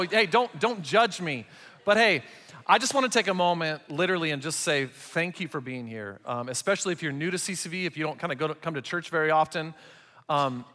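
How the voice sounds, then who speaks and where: Bright joking pastor in a big hall. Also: male voice, a little high. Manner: joking, conversational. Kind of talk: sermon. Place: large church auditorium. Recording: long hall reverb.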